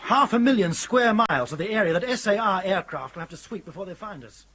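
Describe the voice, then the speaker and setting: An actor playing a stern, authoritative airline captain in a radio drama, with a clipped, commanding manner. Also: male voice, high-pitched, lively intonation, quick pace, fairly bright tone. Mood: grave.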